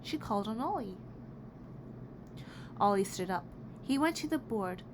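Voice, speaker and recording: A woman reading a children's picture book aloud in an expressive, deliberate storytelling way. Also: medium pitch. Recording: clearly noisy background.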